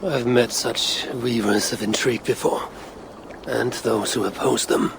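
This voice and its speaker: A man playing a proud, grim Norse Viking warrior in a video game, gravelly and terse. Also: medium pitch, fairly even intonation, unhurried, noisy background. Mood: cool confidence. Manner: terse, cool, threatening.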